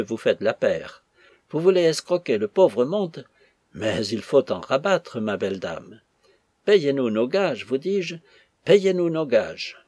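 A man's voice: medium pitch, a little mellow, no echo, clean studio sound. A man voicing a drunk, insolent male footman with slurred speech in an audiobook.

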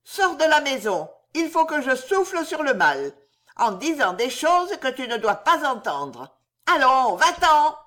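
A woman voicing a very old, half-blind, mean village witch who yelps, bosses and chants spells slowly in an audiobook reading. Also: very animated, a bit bright, exaggerated voice. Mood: bossy. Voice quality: shrill, yelping.

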